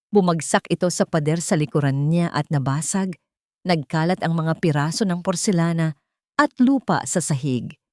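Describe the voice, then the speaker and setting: Expressive low-pitched Filipino woman narrator. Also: dry recording, studio quality. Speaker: audiobook narrator. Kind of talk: dramatic scripted story narration.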